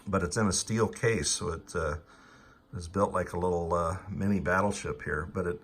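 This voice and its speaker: A man talking casually as an amateur radio operator, explaining gear technically in a halting, hesitant way. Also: deep voice, a bit bright, husky. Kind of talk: casual ham radio chat.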